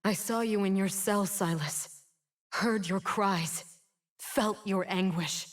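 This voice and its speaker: A woman's voice: medium pitch, natural voice. An actress playing a bitter, wounded fallen winged woman in a video game, solemn and theatrical. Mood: brooding, solemn. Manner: slow, solemn, theatrical delivery. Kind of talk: dramatic video game champion voice lines.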